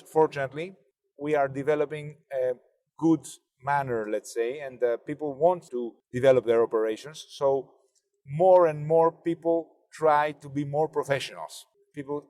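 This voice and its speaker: A man in his forties from Athens with a Greek accent, speaking English as a second language. He answers interview questions in a car, in a measured way, often pausing to find his words. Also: fairly high pitch, a little mellow, long reverb tail. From Greece, Athens. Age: 40s. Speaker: driver and interview guest. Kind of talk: casual conversation. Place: inside a car.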